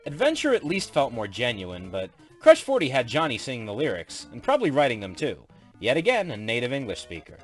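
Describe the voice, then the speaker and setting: A man's voice: mid-range voice, huge pitch swings, brisk, soft background music. A man giving matter-of-fact, explanatory comments in casual spoken commentary.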